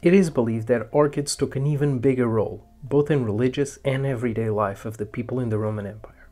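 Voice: medium pitch, quick pace, dark tone. A presenter reading scripted educational narration about the past. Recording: music behind the voice. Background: music.

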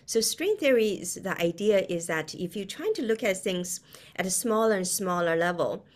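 A middle-aged woman from China with a Chinese accent, explaining in a conversational, halting way as an interview guest, often with a chuckle in her words. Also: medium pitch, expressive. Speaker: guest, quantum physicist. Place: indoor room, video call.

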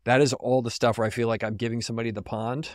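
A man's voice: medium pitch, flat delivery, fast talker, dry recording, studio quality. A man from San Antonio, a podcast guest talking reflectively in a casual, rambling way with lots of "like.". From San Antonio, Texas.

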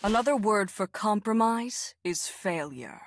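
A woman playing a proud, confident lightning sorceress in a video game, with a husky, breathy, measured delivery. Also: medium pitch, animated, slight background noise. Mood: proud, calm. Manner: measured, self-assured, aphoristic. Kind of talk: video game character voice lines.

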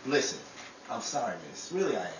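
A man's voice: mid-range voice, sing-song, a little fast, close to an everyday voice. A man playing a courteous, plain-spoken and mysterious stranger in an old-time radio drama. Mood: flustered, polite. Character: polite, mysterious stranger, calm and apologetic. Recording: roomy, distant mic, clear noise.

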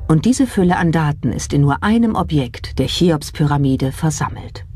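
A narrator reading a scripted documentary in a measured storytelling style. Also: mid-range voice, lively intonation, darkish tone, soft background music.

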